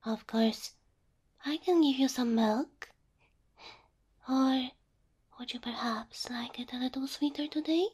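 A woman performing an ASMR roleplay as a gentle, doting cow-girl girlfriend, speaking softly and tenderly into a close microphone. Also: high-pitched. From Slavic Eastern Europe. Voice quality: soft, breathy. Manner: tender, slow, coaxing.

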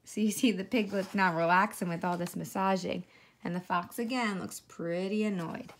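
A woman's voice: medium pitch, steady tone, moderate pace, a little hiss or hum. A woman reading a children's storybook aloud as a teacher, adding simple explanations of the pictures for young listeners.

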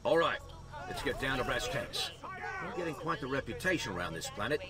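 A man's voice: medium pitch, big pitch swings, a little fast, natural voice. A man playing a wry, wary military guide giving briefings in a video game. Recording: clear battlefield noise behind.

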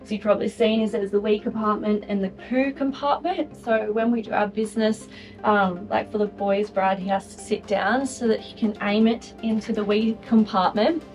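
A woman's voice: mid-range voice, fairly even intonation, normal speed, darkish tone, low-quality recording. A young Australian woman chatting casually as she shows round her caravan, upbeat with plenty of ums and likes. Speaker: vlogger showing a caravan. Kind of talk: casual walk-through chat. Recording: faint music under the talk.

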